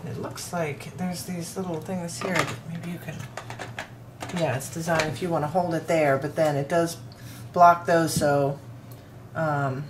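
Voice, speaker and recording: A woman's voice: low voice, fairly even intonation, measured pace, background noise. A woman casually demonstrating a toy playset, explaining each piece as she handles it. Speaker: toy reviewer.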